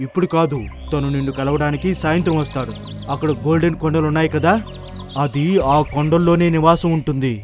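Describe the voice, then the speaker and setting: Sly taunting cartoon wolf, male actor, moderately high pitch, faint music underneath. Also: steady tone, quick pace, darkish tone, close to an everyday voice. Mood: persuasive. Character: scheming male wolf, sly deceiver of the bulls. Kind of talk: cartoon moral story voice acting.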